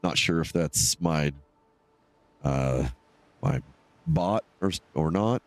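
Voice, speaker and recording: Very deep-voiced, congested man streaming. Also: animated, takes it slowly, no echo. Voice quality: nasal, stuffed-up. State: congested from allergies. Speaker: live game streamer. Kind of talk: casual solo gameplay commentary.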